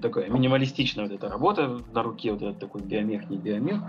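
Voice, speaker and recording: A man's voice: on the low side, animated, fast, muffled recording. A man talking as a podcast guest, thinking aloud about his tattoo and painting work, rambling with many fillers and hesitations. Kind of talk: casual podcast conversation.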